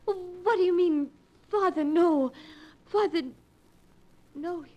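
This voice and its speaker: An actress playing a frightened young woman who fears for her sanity, in halting, breathless old-time radio horror style. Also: very high voice, dull audio. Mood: frightened, bewildered. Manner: breathless, halting, repeating words. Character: frightened young woman fearing she is going insane. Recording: noisy old radio recording.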